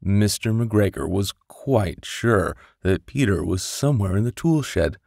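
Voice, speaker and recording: A man's voice: fairly low pitch, expressive, unhurried, vocal fry, a little mellow, no room sound. A man narrating a bedtime story expressively and theatrically, close on a studio microphone. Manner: theatrical, with whispers and sound-effect voices. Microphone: close studio condenser microphone.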